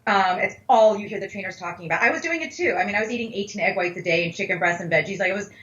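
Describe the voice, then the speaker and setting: A woman's voice: medium pitch, animated, very fast, fairly bright tone. Candid woman, echoey and slightly dull sound. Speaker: plant-based dietitian, interview guest. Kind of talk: casual expert conversation.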